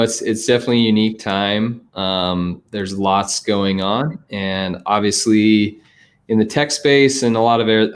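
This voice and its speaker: Low-pitched man hosting a casual interview. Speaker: interviewer, host. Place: plain indoor room. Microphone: video call.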